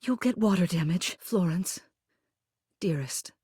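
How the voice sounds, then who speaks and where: A woman's voice: on the low side, lively intonation, unhurried, mellow, husky, dry recording, clean studio sound, exaggerated voice. A woman playing a haughty, formal mayor who is secretly tender, in scripted video game dialogue. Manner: prim, formal, officious, clipped commands.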